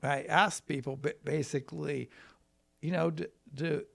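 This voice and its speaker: An American man from Wisconsin, a trial lawyer telling his story in a casual, rambling, folksy and halting way. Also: a little high, fairly even intonation, vocal fry. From Wisconsin, United States.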